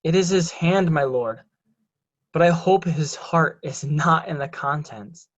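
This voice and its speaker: A resentful, smoothly deceitful bastard son played by a man in a Shakespearean reading. The voice sits a little high for a man, and the delivery is deliberate and declamatory. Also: muffled recording. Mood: sly, deferential.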